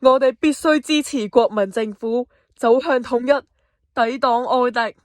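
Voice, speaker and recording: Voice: medium pitch, fairly even intonation, a little slow, dry recording, close to an everyday voice. Resolute male patriot general, amateur actor, declamatory and earnest, medium register, radio drama.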